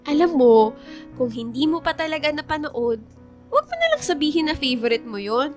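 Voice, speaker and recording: A woman's voice: high voice, moderate pace, faint music behind. A woman playing a young student in a radio romance drama, the teasing, exasperated but supportive best friend. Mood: amused, teasing. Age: young adult. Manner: teasing, animated, colloquial.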